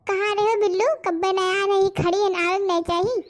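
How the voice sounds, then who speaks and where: A woman voices a young village sweetheart in a cartoon, with an extremely high, childlike pitch and an Awadhi accent. She is sulky and sharp-tongued, scolding with impatience. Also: level tone, brisk. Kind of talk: comic rustic cartoon dialogue.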